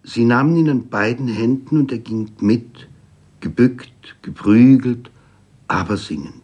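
A man's voice: mid-range voice, unhurried, darkish tone, dull audio. A man reading scripted literary narration for a radio feature. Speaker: reader.

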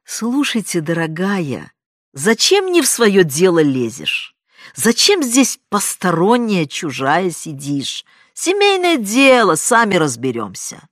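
A woman voicing a loud, spiteful middle-aged aunt who shrieks mocking accusations in a storybook reading. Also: mid-range voice, very animated, slow, dry recording, clean studio sound, full character voice. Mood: scornful.

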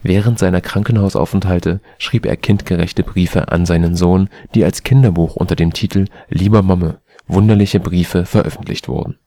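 A man with a standard German accent, reading an encyclopedia article aloud in a steady, careful way. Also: low-pitched, moderate pace, hardly any pauses, no echo, clean studio sound. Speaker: volunteer reader of a spoken encyclopedia article. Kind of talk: scripted read-aloud narration.

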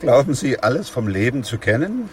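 A man playing a sober, weary, steadfast doctor in a German radio play, speaking in a grave, measured, reflective way. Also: medium pitch, sing-song, a little hiss or hum, natural voice. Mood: more pressing. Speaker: actor playing the narrator and lead.

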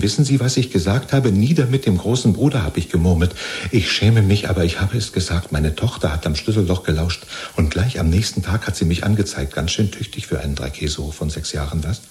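An actor in a 1970s German radio play, playing a chatty, servile jailed party loyalist who rambles and justifies himself. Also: male voice, low voice, darkish tone. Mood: eager, contrite. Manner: rambling, ingratiating, chummy, seeking reassurance.

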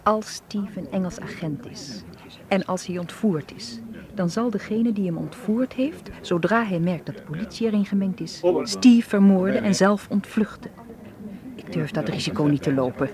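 An actress in a radio play playing a stubborn, determined young woman with a brisk, defiant manner. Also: mid-range voice, animated, natural voice. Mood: insistent. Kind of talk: radio drama acting. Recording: radio play with crowd murmur behind.

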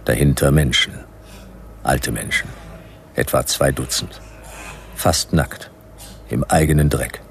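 An actor playing a laconic private detective in a radio play, dry, terse and sardonic, with noir-style narration. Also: male voice, very deep voice, slow, gravelly, long pauses, exaggerated voice. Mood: laconic narrating. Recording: radio play with background noise.

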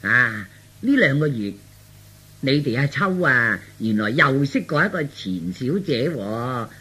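A man's voice: mid-range voice, sing-song, a little slow, sharp tone, husky, exaggerated voice. A man voicing a meddling middle-aged aunt in Cantonese radio storytelling, earnest, insistent and colloquial. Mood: explanatory. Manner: insistent, colloquial, full of rhetorical tags.

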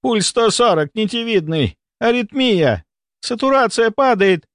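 A man's voice: high voice, animated, unhurried, no echo, clean studio sound, voice pushed up. A man voicing an alarmed woman medic crying out urgently in an audiobook story. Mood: panicked.

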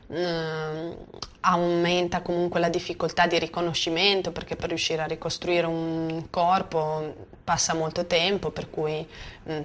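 Low flat-toned woman explaining stuff off the cuff, hesitant, rephrases, bit of background noise. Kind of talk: spontaneous explanatory testimony.

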